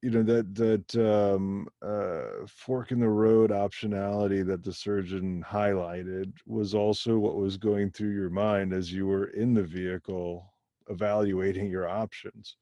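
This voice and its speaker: A man's voice: fairly low pitch, steady tone, measured pace, mellow, dry recording, muffled recording. A man conducting a conversational interview, listening attentively and putting in short reactions between his questions.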